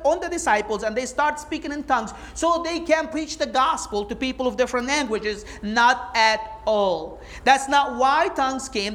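High-voiced woman preaching emphatically. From Egypt. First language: Arabic. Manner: emphatic, animated preaching with rhetorical questions. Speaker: Bible teacher. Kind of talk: sermon-like teaching talk.

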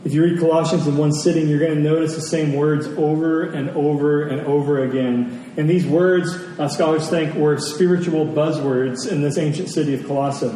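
A man's voice: fairly high pitch, mellow. A man preaching a sermon to a congregation, speaking emphatically and repeating phrases for effect. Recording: very echoey hall, distant mic, faint noise.

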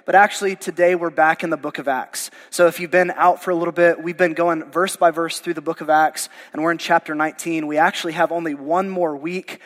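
A man's voice: fairly high pitch, steady tone, a little fast, few pauses, fairly bright tone, in a large hall. A male pastor, losing his voice, preaching a verse-by-verse sermon in a conversational, informal way. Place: church sanctuary.

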